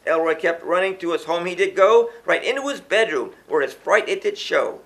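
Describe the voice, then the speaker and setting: Animated, bright-voiced male storybook reader. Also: high-pitched, moderate pace, vocal fry. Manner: theatrical, sing-song rhythmic delivery. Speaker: children's book author reading aloud. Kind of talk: rhyming children's storybook narration.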